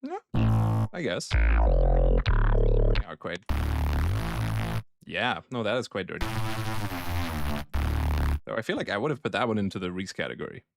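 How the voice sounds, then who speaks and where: Male producer-streamer from Germany, lowish voice, casual and slangy, talking through a synth demo with music playing behind. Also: very animated, measured pace, gravelly, pauses often. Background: synthesizer sounds and music.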